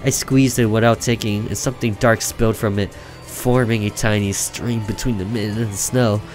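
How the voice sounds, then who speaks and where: Man, low voice, theatrical story reading, gaming host, occasional stumbles, music behind. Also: fairly even intonation, normal speed, darkish tone. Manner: theatrical, stumbles over words and rereads. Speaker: gaming video host reading a visual novel aloud. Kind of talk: dramatic reading aloud with asides. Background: game music.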